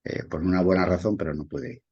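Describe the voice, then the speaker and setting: A man with a Murcian accent speaking in a casual group discussion, halting, with many fillers and restarts. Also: sits low, steady tone, a little mellow, husky, muffled recording. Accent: Murcian Spanish. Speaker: study group participant.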